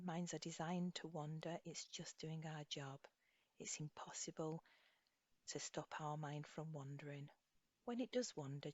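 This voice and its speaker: A woman leading a guided body scan meditation as an instructor, speaking softly and soothingly in an unhurried way, with phrases trailing off breathily. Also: deep voice. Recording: slightly dull, echoey room recording.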